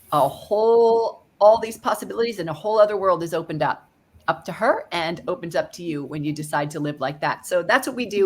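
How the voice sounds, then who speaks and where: A woman hosting a podcast, introducing and interviewing her guest with enthusiasm and frequent laughter. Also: lowish voice. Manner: enthusiastic, laughs often, warm praise of guest. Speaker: podcast host.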